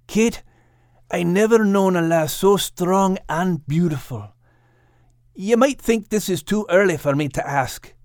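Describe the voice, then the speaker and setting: A man's voice: sits high, unhurried, a little mellow, no echo, studio quality, voice pushed up. A man voicing a brave, tender young Scottish terrier with a Scottish accent in an audiobook. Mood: tender, hopeful. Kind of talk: audiobook character voice.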